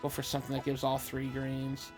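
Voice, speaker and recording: A woman's voice: rumbling low, completely flat delivery, fairly quick, music in the background. A woman chatting casually as she plays a video game and comments on it. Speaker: game player. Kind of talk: casual gameplay chat.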